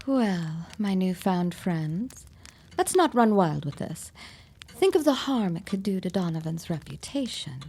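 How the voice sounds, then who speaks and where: A sly, worldly woman of a period radio drama. She takes her time in a husky voice that swoops expressively. Her manner is theatrical and coaxing, always angling for gossip. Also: on the low side, big pitch swings, a little slow, dark tone, heavily acted. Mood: arch, probing. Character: sly, worldly woman pumping neighbours for gossip over gin.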